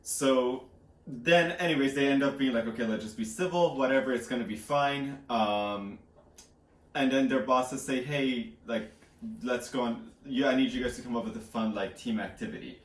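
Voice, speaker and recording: A man's voice: mid-range voice, normal speed. A young man giving a casual, rambling recap as co-host, slangy and amused, peppering it with "like". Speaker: book podcast co-host. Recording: echoey room, distant from microphone.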